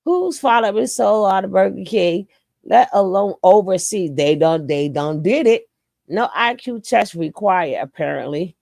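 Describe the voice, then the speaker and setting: A woman's voice: mid-range voice, gravelly, a little mellow, no room sound, studio quality. A woman giving casual reaction commentary, stuffy from a cold, mocking and teasing in slangy talk. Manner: mocking, laughing, slangy, sing-song teasing.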